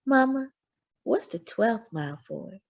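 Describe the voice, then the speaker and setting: A woman's voice: on the high side, expressive, measured pace, vocal fry, exaggerated voice. A woman voicing a curious, eager little boy in a storybook, with childlike questioning. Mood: sleepy. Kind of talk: storybook character voice.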